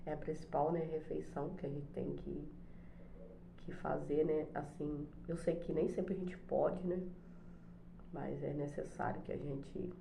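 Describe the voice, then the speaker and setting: Middle-aged woman, low mellow voice, hoarse from a cold, hesitant home vlogger; echoey room, faint noise. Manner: soft, hesitant, many fillers. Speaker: channel host, special education teacher. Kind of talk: casual devotional vlog chat. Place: home, morning coffee.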